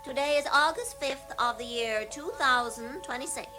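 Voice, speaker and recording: A woman's voice: sits high, normal speed, close to an everyday voice. A woman playing the voice of an automated house, making repetitive announcements in an audio drama. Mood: repetitive, detached. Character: automated house's voice announcing the date, repeating itself. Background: music.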